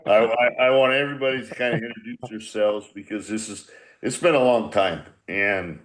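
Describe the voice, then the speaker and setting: A man's voice: fairly low pitch, moderate pace, low-quality recording. An older man in casual conversation, leading a group chat in a blunt, salty, rambling manner. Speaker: former baseball manager leading a reunion podcast.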